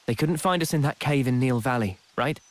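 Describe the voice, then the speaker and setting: A man's voice: medium pitch, a little fast, close to an everyday voice. A man as an earnest young squad leader. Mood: thoughtful. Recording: music behind.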